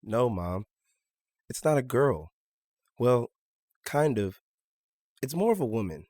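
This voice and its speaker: A man's voice: medium pitch, big pitch swings, slow, a little mellow, no room sound, clean studio sound. A man playing an earnest, stubborn seventeen-year-old son in a naturalistic audio drama, polite but insistent. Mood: earnest, confiding.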